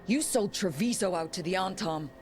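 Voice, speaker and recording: An actor voices a wry, resolute young hero in a video game drama. The delivery is dry and pointed at an average pitch. Music is clearly audible behind the voice. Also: normal speed, close to an everyday voice. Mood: accusing. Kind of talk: video game cutscene acting.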